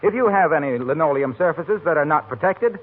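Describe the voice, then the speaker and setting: A man with an American accent announcing from a script in polished 1940s radio pitchman style. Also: expressive, a little fast, breathy edge. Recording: tinny old-time radio recording.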